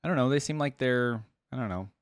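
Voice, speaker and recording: A man's voice: mid-range voice, fast, dry recording, studio quality. A man giving casual reaction commentary, musing aloud and dropping short interjections. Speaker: reaction video host. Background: faint music.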